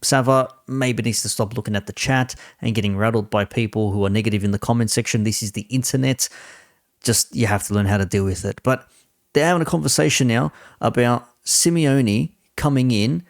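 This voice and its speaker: A man's voice: on the low side, gravelly, no room sound, clean studio sound. A man giving casual, opinionated commentary as a host, blunt and sweary in a conversational way. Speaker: host reacting to a football debate stream.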